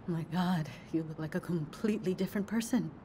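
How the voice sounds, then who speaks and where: A woman's voice: fairly low pitch, a little fast, dark tone, a little hiss or hum, natural voice. An actress playing an evasive, pious middle-aged woman, guarded and dismissive, in a video game drama. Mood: surprised. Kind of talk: naturalistic video game acting.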